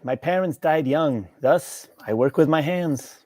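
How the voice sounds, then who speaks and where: A man playing a thin, sickly, timid yet stubborn barber in a table read, with a husky voice and dry manner. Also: a little high, unhurried, a little mellow, dry recording. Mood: earnest, stubborn.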